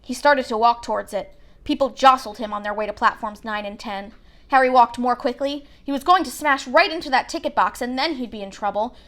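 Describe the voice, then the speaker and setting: A woman narrating a children's novel aloud in an expressive storybook style. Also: a little high, a little fast.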